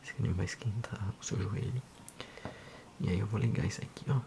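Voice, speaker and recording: A man in his thirties with a Brazilian accent, speaking softly and unhurriedly in an ASMR role-play as a physiotherapist addressing the listener. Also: on the low side, creaky. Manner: soft, unhurried, hushed.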